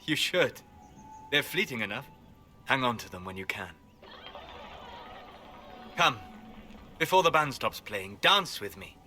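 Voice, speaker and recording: An actor playing a wry, gallant companion in a video game, speaking in a low-key, intimate way. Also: male voice, sing-song, unhurried, long pauses, pushed and bright, soft background music, exaggerated voice. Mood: gentle, wry. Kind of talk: naturalistic video game acting.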